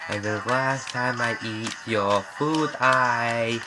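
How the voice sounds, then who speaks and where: A man's voice: mid-range voice, steady tone, slow pace. A young man in his late teens sings casually along to a comic parody song, chanting its repeated hooks, recorded on a laptop webcam in a small bedroom. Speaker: amateur singer. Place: small bedroom with bright yellow walls. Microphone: laptop webcam at close range. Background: loud backing music.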